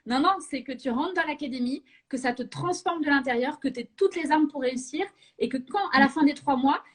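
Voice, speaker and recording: A woman hosting a live session, chatting casually with many fillers and quick laughs. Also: high-pitched.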